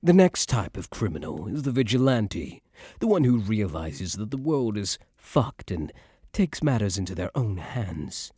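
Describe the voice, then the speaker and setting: Man playing a slow, husky mafia boyfriend. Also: lowish voice, big pitch swings, dark tone, dry recording, clean studio sound, exaggerated voice. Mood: musing, confiding. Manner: slow, smug, tough-guy slang with tender pet names. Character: cocky young mafia heir, doting but menacing boyfriend. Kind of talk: intimate ASMR boyfriend roleplay.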